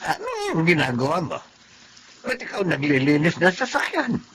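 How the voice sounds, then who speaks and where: A man's voice: fairly high pitch, sing-song, normal speed, fairly bright tone, slight background noise. A man playing a fussy but kindly middle-aged family driver in a Filipino radio drama, exclamatory and fondly scolding, with a Filipino accent. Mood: alarmed, fond scolding. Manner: exclamatory, scolding yet fond.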